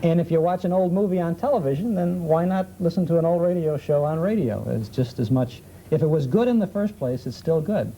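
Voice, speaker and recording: A man's voice: a little high, a little fast, few pauses, dark tone. A man who hosts a radio program, chatting as a guest on a 1970s television panel. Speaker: old-time radio show host, talk show guest. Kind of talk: conversational panel talk. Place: television studio. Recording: muffled, faintly noisy 1970s television recording.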